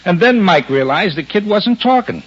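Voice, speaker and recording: Animated, husky soft-hearted police lieutenant. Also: male voice, high-pitched, moderate pace, exaggerated voice. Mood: weary, worked up. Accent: American. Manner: emphatic, colloquial, exasperated. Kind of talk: 1950s American radio detective drama acting. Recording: muffled vintage radio recording.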